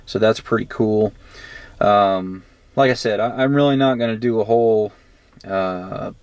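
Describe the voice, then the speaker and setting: A man's voice: on the low side, a little slow, darkish tone, no room sound, lo-fi sound. An American man giving a casual, unscripted gear review in a rambling, matter-of-fact way, recorded on a handheld camera. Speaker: presenter of gear review videos. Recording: clear background noise, handheld camera audio.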